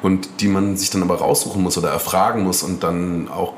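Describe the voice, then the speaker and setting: A man talking casually as an interview guest, relaxed and rambling, with slang and many fillers. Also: low voice, brisk, reverberant, slight background noise.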